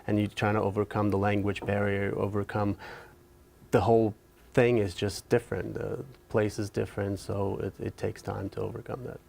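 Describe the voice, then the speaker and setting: A young man from Ukraine, speaking as an interview guest and pausing often with fillers and restarts. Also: fairly low pitch, little pitch movement, vocal fry, a little mellow. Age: young adult. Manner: hesitant, many fillers and restarts. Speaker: student guest. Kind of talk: casual interview talk.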